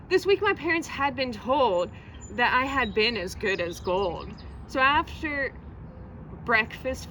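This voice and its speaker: A woman reading a children's poem aloud in a theatrical, expressive storytelling manner, recorded outdoors. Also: fairly high pitch, poor audio. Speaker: library reader presenting a poem. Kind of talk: animated children's poetry reading. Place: outdoors outside a public library. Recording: outdoor recording with faint traffic noise.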